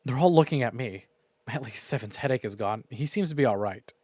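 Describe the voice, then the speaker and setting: A man streaming a visual novel, reading it aloud and reacting in an expressive, sweary way. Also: medium pitch, fairly quick, no echo. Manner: expressive, profane. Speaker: game streamer.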